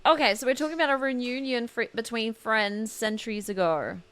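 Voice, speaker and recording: A woman's voice: on the high side, a little fast, a bit bright, clear, resonant tone. A woman game streamer chatting casually to her viewers as she reacts live to a story.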